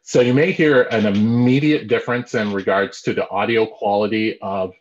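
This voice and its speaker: A man with a deep baritone voice giving an instructional talk, explaining things step by step and repeating phrases as he thinks. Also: fairly even intonation, normal speed. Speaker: presenter. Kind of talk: instructional talk on audio gear. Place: small bare room at home. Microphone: desktop condenser mic, then earbud headset. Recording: dull lo-fi earbud audio with echo.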